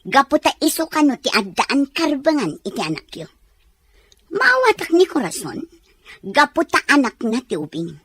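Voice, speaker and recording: A woman's voice: high-pitched, huge pitch swings, moderate pace, a bit bright, no room sound, exaggerated voice. A woman acting a well-off, emotional, commanding wife in a melodramatic audio drama. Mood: hurt, agitated. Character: well-off jealous wife, lady of the house.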